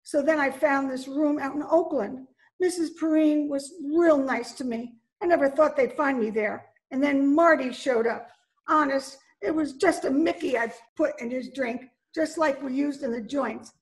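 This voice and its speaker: A jittery, streetwise gangster's girlfriend, played by a woman in a radio crime play. She speaks high, in slangy, pleading lines, recorded from home over a video call. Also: normal speed, close to an everyday voice, poor audio. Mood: confessing. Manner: breathless pleading, slangy "gee" and "ya". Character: frightened young gangster's moll, pleading and streetwise. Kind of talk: old-time radio play acting.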